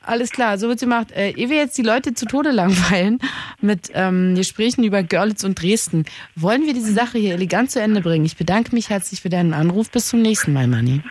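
A woman's voice: medium pitch, lively intonation, a little mellow, a little hiss or hum. A woman from Saxony hosting a call-in radio show, teasing and bantering with a caller. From Saxony, Germany. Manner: teasing, quick-witted, bantering.

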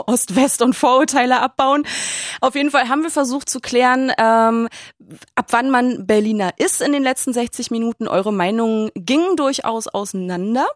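A woman's voice: a little high, no room sound. A woman hosting a radio phone-in, talking casually into a close studio microphone. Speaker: radio host of a phone-in show. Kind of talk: casual talk radio moderation.

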